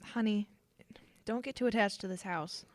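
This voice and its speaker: A woman acting in an audio drama as a poor, cautious, tender mother who speaks in a hesitant, gently worried way. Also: medium pitch, moderate pace, dry recording, studio quality, natural voice.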